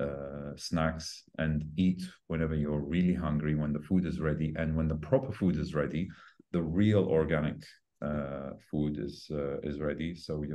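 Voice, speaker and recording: A man in his forties with a notably deep voice and a dark, relaxed tone. He explains like a fitness coach being interviewed, conversationally and a little haltingly, searching for words and then repeating the important ones for emphasis. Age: 40s. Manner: emphatic repetition of key words. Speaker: fitness trainer and podcast guest.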